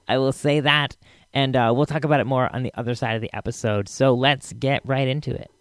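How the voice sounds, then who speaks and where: A man's voice: mid-range voice, fairly even intonation, fairly quick. A man hosting a podcast, giving a casual introduction to an episode. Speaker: podcast host.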